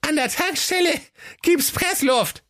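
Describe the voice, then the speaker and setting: A man voicing a band of cheeky little goat kids in a children's story, chattering high and squeaky. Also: measured pace, fairly bright tone. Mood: eager.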